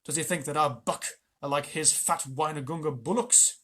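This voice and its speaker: A reader voicing a proud father wolf from a storybook, loud, angry and commanding. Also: mid-range voice, normal speed, a little hiss or hum, close to an everyday voice. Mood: scornful. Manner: angry and commanding, old-fashioned speech. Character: proud, fierce male wolf, a protective father. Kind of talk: storybook reading.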